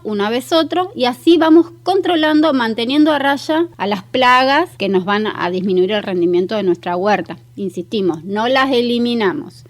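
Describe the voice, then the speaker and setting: An Argentine woman speaking as a guest gardening expert in a radio interview, explaining things conversationally in a practical, instructive way. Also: a little high. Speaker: guest expert on aromatic plants and home gardening.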